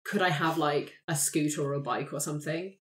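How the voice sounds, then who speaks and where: Woman with a lowish voice, gaming casually. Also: fairly quick. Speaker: tabletop game player. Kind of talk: casual chat during a game.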